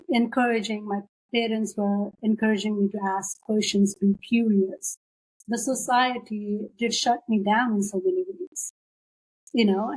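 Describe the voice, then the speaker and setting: A young woman from Nepal whose first language is Nepali talks as an interview guest. She speaks at an ordinary middle pitch and thinks aloud, with fillers and restarts. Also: a little mellow, poor audio. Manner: thoughtful, with many fillers and restarts. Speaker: guest, applied scientist. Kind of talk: casual interview conversation.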